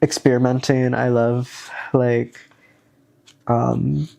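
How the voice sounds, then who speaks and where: Nasal, slow, rambling man with a cold. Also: mid-range voice, little pitch movement, vocal fry, dark tone. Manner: rambling, hesitant, many fillers. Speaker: vlogger. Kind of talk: casual chat, reading worksheet aloud.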